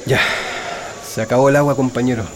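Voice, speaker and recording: An actor with a Chilean accent playing a friendly old comrade sharing memories in a radio drama. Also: male voice, mid-range voice, fairly even intonation, brisk, noisy background, lightly acted. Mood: companionable.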